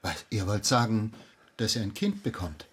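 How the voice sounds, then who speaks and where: An actor playing a stern, suspicious magistrate in a period radio drama, probing and accusatory in formal speech. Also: male voice, on the low side, sing-song, moderate pace, fairly bright tone, husky, full character voice. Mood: inquiring. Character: stern, suspicious male magistrate interrogating suspects.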